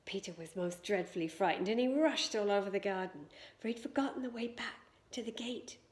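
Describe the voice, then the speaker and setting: A woman reading a children's story aloud expressively, with dramatic emphasis and hushed moments of suspense. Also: medium pitch, a little fast.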